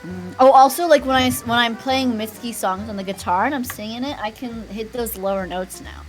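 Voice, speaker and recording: A young woman chatting candidly on a live stream, often trailing off softly at the ends of her sentences. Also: fairly high pitch, brisk, soft background music, low-quality recording. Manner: candid, soft-spoken, trailing off quietly. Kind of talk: casual chat on a live stream.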